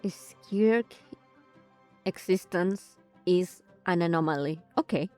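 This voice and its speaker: Woman streamer, slow halting reactions. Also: fairly low pitch, a little mellow, soft background music. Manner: thinks aloud, halting, exclamatory. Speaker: streamer playing a game. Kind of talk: casual game reaction commentary.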